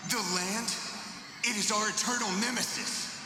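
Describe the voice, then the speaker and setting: A man's voice: sits high, fairly even intonation, normal speed, natural voice. A man playing a haughty, scornful religious zealot in a fantasy game, pompous and mocking. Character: haughty, scornful sun-worshipping zealot of a sky people. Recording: echoey hall reverb, music behind.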